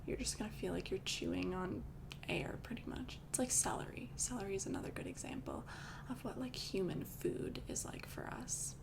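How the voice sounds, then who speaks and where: A young woman voicing a calm, doting female vampire girlfriend in an ASMR roleplay, speaking in soft, intimate whispers close to the mic. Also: medium pitch, normal speed, vocal fry, full character voice. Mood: soothing. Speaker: ASMR creator.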